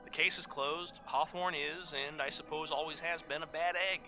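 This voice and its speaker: A man's voice: a little high, fairly even intonation, normal speed, pushed and bright, faint music behind. A man playing a wisecracking, flightless bird sidekick, flippant and jokey, in an audio drama. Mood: breezy.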